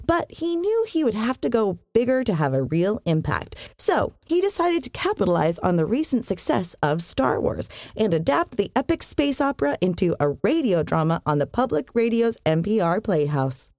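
A woman narrates as a podcast host. Her delivery is scripted and very animated in pitch, with wry little asides. The sound is close and dry, with very little room to it. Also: dry recording. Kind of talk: scripted narration of science fiction history.

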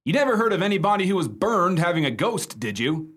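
A man's voice: high-pitched, sing-song, fast talker, a bit bright, slightly rough, full character voice. An actor playing a jovial, wry American man spinning tales for friends in a radio play, playfully theatrical. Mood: teasing, storytelling. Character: wry, jovial American male raconteur telling friends a ghost story.

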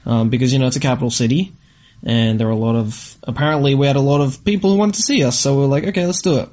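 A man with a mid-range voice chats as a podcast co-host. His delivery is informal and rambling, full of slang, like relaxed talk between travel companions. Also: medium pitch, racing. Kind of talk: casual chat about travel.

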